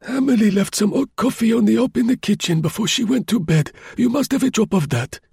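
Very old man with a cold, husky croak, meek, high and thin. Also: expressive, brisk, darkish tone, dry recording, clean studio sound, full character voice. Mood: surprised welcoming. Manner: meek, pleading. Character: very old frail meek male concierge with a cold.

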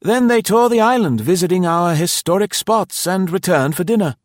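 A man's voice: sits high, animated, moderate pace, no room sound, studio quality, voice pushed up. A man voicing a cheerful, fussy British male guesthouse host in an audiobook, jaunty and effusively polite, with clipped phrases. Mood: pleasant, obliging. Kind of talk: audiobook character voice.

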